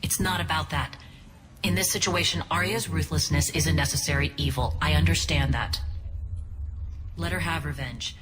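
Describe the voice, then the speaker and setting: An actress playing a principled, wary alien ex-soldier who leads a resistance in a video game, earnest and measured. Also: female voice, sits low, over music, natural voice. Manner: earnest, measured, dryly pointed. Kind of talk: dramatic video game acting. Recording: long reverb, noisy background.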